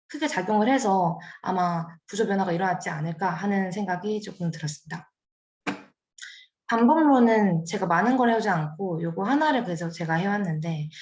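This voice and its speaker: Young woman, seminar presenter, hesitant careful delivery, roomy echo. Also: medium pitch. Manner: careful, hesitant explaining with fillers and self-corrections. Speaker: graduate student presenter.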